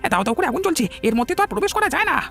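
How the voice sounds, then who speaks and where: An actor voicing a panicked, frantic bystander with an urgent, shrill delivery in an animated cartoon. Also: racing, gravelly, exaggerated voice. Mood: alarmed. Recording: music behind the voice. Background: music.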